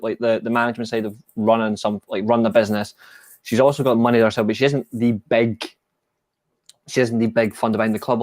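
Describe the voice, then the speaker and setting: A man's voice: mid-range voice, dry recording. A Scottish man co-hosting a casual football podcast, rambling and peppering his talk with "like". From Scotland. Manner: rambling, heavy use of "like". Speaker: co-host.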